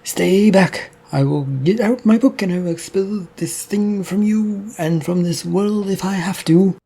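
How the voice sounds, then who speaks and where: A man voicing a frightened but defiant male preacher, halting and stubborn. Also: high-pitched, gravelly, warm tone, breathy edge, voice pushed up, exaggerated voice. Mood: fearful defiant.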